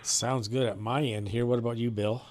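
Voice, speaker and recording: A man's voice: medium pitch, fairly even intonation, normal speed, noisy background. A man chatting casually as a ham radio operator, easygoing and reassuring.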